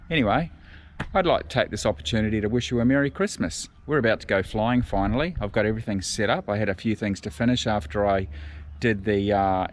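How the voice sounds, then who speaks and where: Low voiced guy doing pilot vlog narration, methodical tech explaining, roomy echoey sound. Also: a little hiss or hum. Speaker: pilot and host of flying vlog.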